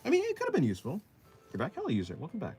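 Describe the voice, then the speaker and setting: A man's voice: high-pitched, huge pitch swings, racing, darkish tone, breathy edge, faint music behind. A man of twenty, a live-streaming artist, chatting casually with his viewers and answering their comments while he draws. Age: 20s. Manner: laid-back, reads chat aloud and answers. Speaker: art streamer.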